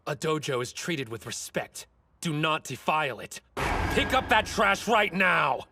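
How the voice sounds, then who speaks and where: A stubborn young sumo boy in a cartoon dub. His voice is high and swings widely, blunt and earnest. A loud music score runs behind him. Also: big pitch swings, measured pace, exaggerated voice. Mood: stern. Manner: earnest, blunt, stern about respect. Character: short, stubborn teen boy sumo wrestler. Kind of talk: English anime dub acting.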